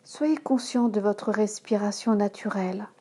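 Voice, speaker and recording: A woman yoga instructor leading a guided relaxation in a calm, measured manner, giving instructions in short phrases. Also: medium pitch. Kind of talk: slow guided relaxation meditation.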